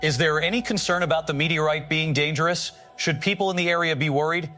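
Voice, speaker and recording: Radio drama news anchor, played by a man: quick, bright delivery, composed and formal. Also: a little high, soft background music. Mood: composed, inquiring. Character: brisk, polished male television news anchor. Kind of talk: scripted broadcast news reading in audio drama.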